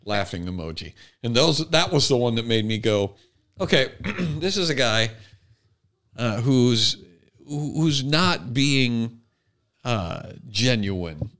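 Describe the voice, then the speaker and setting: Clear-voiced man talking on a podcast. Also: mid-range voice, lively intonation, takes it slowly, a bit bright. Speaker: podcast host. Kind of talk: conversational talk, reading out online comments.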